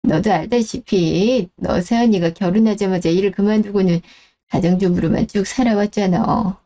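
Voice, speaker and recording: A woman voicing a gentle, self-effacing elderly mother in a radio drama who speaks in a soft, apologetic, consoling way. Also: medium pitch, natural voice. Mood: scolding. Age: older.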